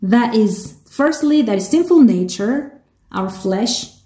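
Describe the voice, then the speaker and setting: A woman with a Korean accent interpreting a sermon into English, measured and halting, with restarts. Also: a little high, expressive, dark tone, echoey room.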